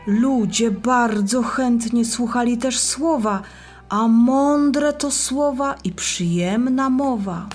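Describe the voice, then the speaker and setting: A woman narrating a scripted rhymed Bible story for children in a deliberate, expressive way. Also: a little high, soft background music.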